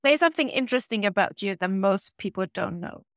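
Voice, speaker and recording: A woman hosting an interview asks short, prepared questions in a measured voice. Her pitch is mid-range, and the recording is dry with no room sound. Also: expressive, dry recording. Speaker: interviewer, host.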